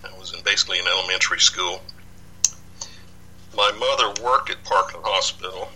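Bright-voiced older man, rambling. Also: low voice, normal speed, slight background noise, rough recording. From Dallas, Texas. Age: 60s. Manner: rambling, many fillers and restarts. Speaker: podcast guest, researcher. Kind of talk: casual interview.

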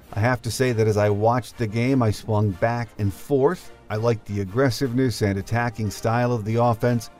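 A man's voice: mid-range voice, darkish tone. An older man with an American accent hosts a sports podcast. His voice sits in a middle register, with music faintly audible in the recording. He speaks as a presenter addressing fans. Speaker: podcast host. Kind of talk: sports podcast monologue and interview.

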